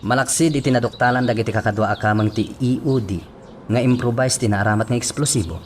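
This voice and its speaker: A man's voice: medium pitch, quick pace, a little hiss or hum, close to an everyday voice. An actor with an Ilocano accent playing a serious man in a radio drama. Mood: serious, formal. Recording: distant mic, music behind.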